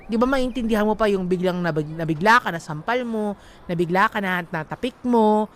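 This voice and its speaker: A woman's voice: mid-range voice, a little fast, fairly bright tone. A woman co-hosting a radio advice show, reading a listener's letter and then commenting emphatically, mixing in English phrases. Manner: emphatic, mixes English into talk.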